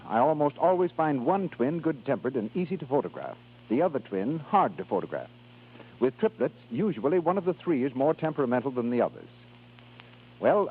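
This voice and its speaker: A man's voice: medium pitch, breathy edge. A man working as a commercial announcer, reading scripted 1930s radio advertising with polished emphasis. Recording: tinny 1930s radio recording.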